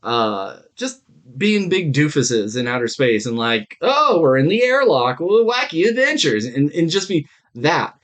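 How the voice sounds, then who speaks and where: A man's voice: on the high side, very animated, measured pace, fairly bright tone. A man co-hosting a podcast, chatting about shows in an informal, rambling, sweary way. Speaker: podcast co-host. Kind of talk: casual review chat.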